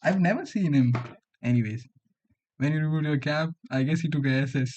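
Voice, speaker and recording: Young Indian man, higher-pitched, casual streamer banter. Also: fairly quick, darkish tone. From Delhi, India. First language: Hindi. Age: 20s. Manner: slangy, bantering, often says bro. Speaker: livestream host, student coder. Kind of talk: casual livestream chat with viewers.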